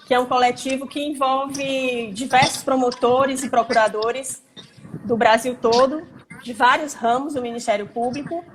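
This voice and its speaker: A woman with a Ceará accent hosts a casual live stream from her phone, talking in a chatty, lively way with many asides. Her voice sits a little high, with faint noise behind it. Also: rough recording. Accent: Northeastern Brazilian (Ceará). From Fortaleza, Brazil. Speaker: host, labor prosecutor.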